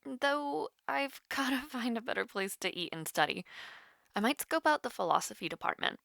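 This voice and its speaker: A woman's voice: a little high, animated, normal speed, vocal fry, fairly bright tone, no room sound, clean studio sound. A young woman playing a nervous, rambling college student chatting casually with her online crush in an audio roleplay. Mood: shy, casual. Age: young adult. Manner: awkward, rambling, hesitant with many fillers. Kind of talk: naturalistic romantic audio roleplay, casual one-sided call.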